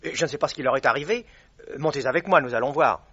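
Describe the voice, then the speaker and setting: A man playing an urgent country doctor in a French radio mystery, speaking in a hurried manner. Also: mid-range voice, sharp tone, husky, lo-fi sound. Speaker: actor in a radio mystery play.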